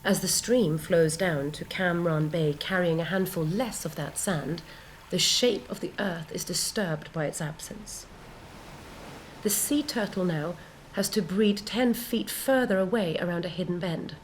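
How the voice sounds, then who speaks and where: An actress plays a solemn, mystical heroine delivering a poetic dramatic monologue. She speaks very slowly in a lowish woman's voice with weighty pauses, over faint background noise. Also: natural voice. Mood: solemn wonder.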